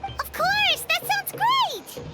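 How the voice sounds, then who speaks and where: A woman voicing a tiny, childlike, excitable floating fairy companion in a video game, with a squeaky, exclamatory delivery. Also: drawn-out words, heavily acted. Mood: cheerful, eager. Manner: refers to self in third person, exclamatory. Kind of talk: video game character acting. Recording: music playing behind.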